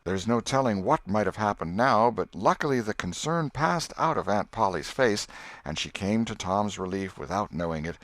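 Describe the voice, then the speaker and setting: Guy narrating an old novel, lowish voice, really animated intonation, measured delivery, dry sound. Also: no room sound. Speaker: audiobook narrator. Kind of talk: scripted storybook narration.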